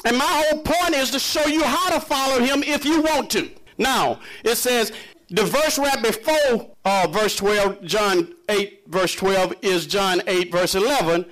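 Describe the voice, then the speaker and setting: A man's voice: sits high, a little slow, fairly bright tone, low-quality recording. A man preaching a sermon in an emphatic, conversational and challenging manner, full of rhetorical questions. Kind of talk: impassioned sermon to a congregation.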